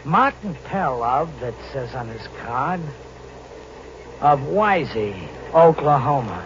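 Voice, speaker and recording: A man playing a jittery drifter on the run, confessing in halting, repetitive phrases in a 1940s radio thriller. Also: medium pitch, very animated, noisy background. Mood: grim, brooding. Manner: halting, repeats himself, tense confessional. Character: jittery drifter on the run, narrating his crime. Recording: dull vintage radio recording, loud music.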